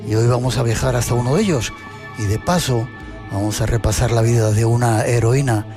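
An eager male host leads the interview with an upbeat presenter's delivery. His voice sits at an ordinary pitch. Faint music plays behind him. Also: fairly quick. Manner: enthusiastic, presenter-like. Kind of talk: radio or TV interview.

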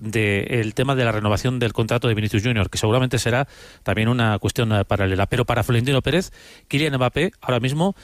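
A man gives sports opinion emphatically. He talks quickly at a middling pitch, with a dry, echo-free sound. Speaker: sports commentator.

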